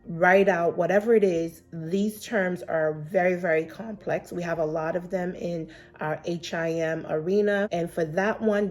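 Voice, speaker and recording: A woman's voice: on the low side, darkish tone. A woman with a slightly congested voice, giving earnest, encouraging exam-prep advice straight to the camera and checking her notes as she goes. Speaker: exam prep vlogger and mentor. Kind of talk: casual advice vlog to camera. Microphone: camera facing her, laptop beside.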